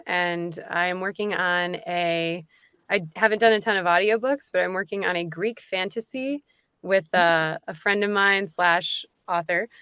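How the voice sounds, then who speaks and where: Bright-voiced woman in casual conversation. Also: medium pitch, clean tone, no room sound. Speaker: voice actor, panel guest. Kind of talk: casual roundtable chat.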